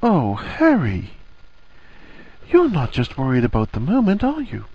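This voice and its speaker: Man voicing an earnest young woman, pitched very high. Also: huge pitch swings, unhurried, no echo, highs cut off, heavily acted. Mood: gentle realization. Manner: soft, coaxing, reassuring. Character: earnest young woman, a gently coaxing wife.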